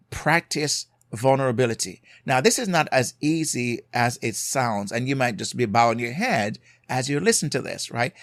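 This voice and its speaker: Jamaican male podcast host, mid-pitched, earnest and emphatic, close dry sound. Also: a bit bright, no room sound. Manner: earnest and emphatic, repeats key points, tags lines with "right?". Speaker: podcast host giving marriage advice. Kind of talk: solo podcast advice talk.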